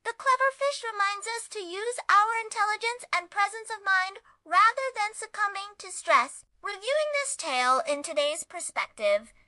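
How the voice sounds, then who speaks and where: A woman's voice: very high voice, pushed and bright, dry recording. A woman reading a scripted children's story review as narrator, with an earnest, emphatic and dramatic delivery.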